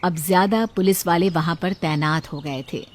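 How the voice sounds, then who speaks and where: A woman's voice: fairly low pitch, moderate pace. A woman reading a scripted cartoon story as its narrator. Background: music.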